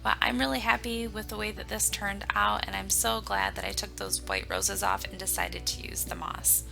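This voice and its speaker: A woman hosting her own home decor channel, her voice raspy from a recent illness, walking viewers through each craft step in a chatty, plain way. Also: mid-range voice, animated, normal speed, over music. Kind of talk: casual step-by-step craft tutorial.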